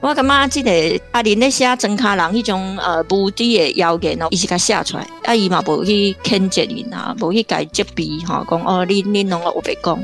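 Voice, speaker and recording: A woman's voice: mid-range voice, fairly quick, few pauses, soft background music. A woman with a Taiwanese accent giving conversational literary commentary in an explanatory way, like a guest academic.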